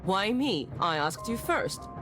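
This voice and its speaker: A woman's voice: medium pitch, big pitch swings, slow pace. A woman voicing a well-bred, self-assured young lady in a visual novel, speaking in a composed, articulate, formal way. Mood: brisk, assertive. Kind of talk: visual novel voice acting, narrative dialogue. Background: music.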